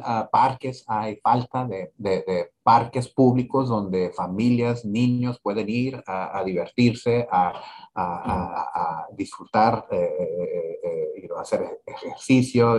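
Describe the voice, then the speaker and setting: A man. He answers questions as a council candidate. He talks haltingly and fills the gaps with "ah" and repeated words. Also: fairly low pitch. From Laredo, Texas. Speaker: council candidate, immigration attorney. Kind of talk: interview in Spanish.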